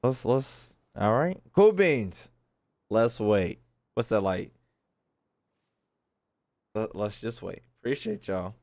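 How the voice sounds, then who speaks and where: A man's voice: fairly low pitch, expressive, slow, pauses often, darkish tone, dry recording. A man doing casual live stream commentary on a game, slangy, sweary and joking, close on the mic.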